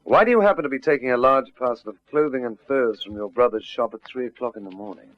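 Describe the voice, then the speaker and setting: An actor playing a calm, firm detective sergeant, measured, dryly courteous and politely insistent, in an old radio drama. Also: male voice, medium pitch, dry recording, close to an everyday voice. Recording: dull, noisy old radio drama recording.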